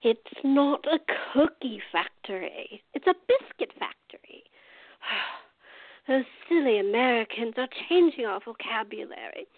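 Actress doing a bossy cranky old lady for radio drama, kinda high voice, dry dull lo-fi sound. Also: expressive, measured pace, no echo. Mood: brusque, scolding. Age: older. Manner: imperious, brusque, disapproving. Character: cantankerous, blunt old Australian woman, a retired nurse. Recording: dull lo-fi recording.

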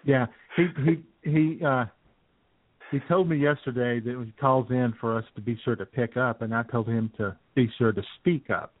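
A man's voice: medium pitch, fairly even intonation, moderate pace, no room sound. Wisecracking male co-host on a phone-like line. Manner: wisecracking, irreverent. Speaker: internet radio co-host. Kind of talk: casual comedic banter. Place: internet radio show. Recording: thin phone-like internet radio sound.

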